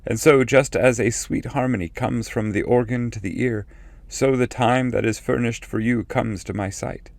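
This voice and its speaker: A man voicing a grave, fatherly ancestral spirit who foretells exile in solemn, measured verse from an epic poem. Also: medium pitch, vocal fry, dry recording.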